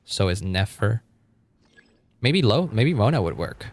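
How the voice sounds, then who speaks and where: A man's voice: on the low side, big pitch swings, a little slow. A man live-streaming a game, chatting casually and thinking aloud in an informal way, with casual swearing. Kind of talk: casual live-stream chat while gaming.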